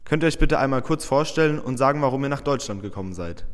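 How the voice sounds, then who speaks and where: A young male student reads scripted interview questions plainly, in a middle-pitched voice. His voice echoes with a long hall reverb. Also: expressive, quick pace.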